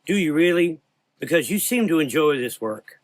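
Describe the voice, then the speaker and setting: A man voicing a tough, bitter, defiant gunfighting woman in a gothic audio drama, sharp-tongued and sarcastic. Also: a little high, expressive, moderate pace, pitched above the performer's own voice, natural voice.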